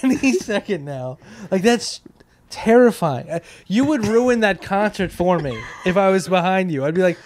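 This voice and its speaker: American dude riffing casually on a comedy podcast, kinda higher voice, close dry handheld mic. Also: male voice, expressive, moderate pace, darkish tone, no echo. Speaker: podcast co-host. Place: small indoor podcast room with couches. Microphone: handheld microphone held close.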